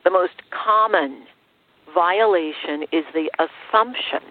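Host role: middle-aged female, measured and affirming, conversational, heard over band-limited radio-style sound. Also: on the low side, phone-quality sound. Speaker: host, relationship therapist. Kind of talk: conversational interviewing.

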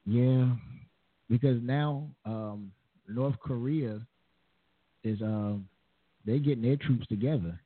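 A pastor in his forties hosting a casual talk show, chatty and quick to laugh, on a tinny, dry recording. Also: mid-range voice, no room sound. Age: 40s. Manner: chatty, laughs often, repeats phrases. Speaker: pastor and host. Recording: tinny phone-like, dry sound.

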